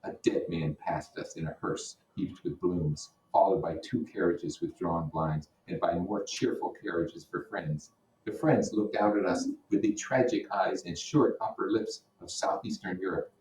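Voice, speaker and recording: A man's voice: deep voice, a little slow, darkish tone. A man teaching, reading a novel aloud in an informal way with blunt asides. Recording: roomy echo, distant microphone.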